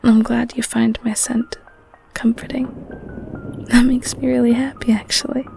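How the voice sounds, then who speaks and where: A woman voicing a loving, clingy, protective girlfriend in an intimate ASMR roleplay, speaking in a soft whispery voice with tender, gentle cooing. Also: fairly high pitch, little pitch movement, normal speed, vocal fry, faint music behind. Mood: tender, playful. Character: loving clingy girlfriend, secretly eldritch being, soothing and protective. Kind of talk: ASMR audio roleplay, intimate one-sided scene.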